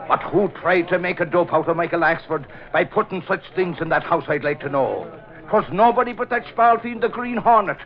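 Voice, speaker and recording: A man's voice: high voice, a little fast. An actor playing a blustering, indignant Irishman with an Irish accent and folksy turns of phrase in a 1940s radio drama. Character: blustering, indignant Irishman, loyal and hot-headed. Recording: dull vintage radio recording.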